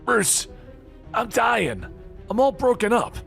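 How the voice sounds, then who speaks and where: A man's voice: extremely high pitch, unhurried, pushed and bright, soft background music, pitched above the performer's own voice, heavily acted. A man voicing a wounded, defiant young male hero in a comic book story, strained and emotional. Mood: dying, pained.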